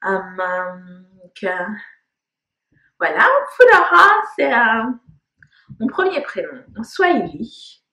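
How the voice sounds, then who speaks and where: A woman's voice: a little high, very animated, slow pace, pauses often, pushed and bright. A woman in her thirties, originally from Congo, talking in a casual personal vlog, reflective and hesitant with many fillers. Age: 30s. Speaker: vlogger. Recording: echoey room.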